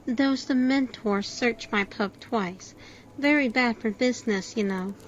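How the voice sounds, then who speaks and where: A woman acts a chatty, friendly pub landlady in an audio play. Her voice is high. The sound is slightly dull and lo-fi with a faint noise behind. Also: unhurried, close to an everyday voice. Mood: gossipy. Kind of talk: amateur audio drama acting.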